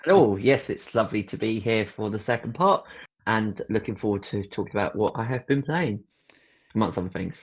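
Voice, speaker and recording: A man's voice: on the low side, expressive, brisk, breathy edge, dull audio. A man joining a casual gaming podcast as a guest, cheerful and thinking aloud, swearing casually.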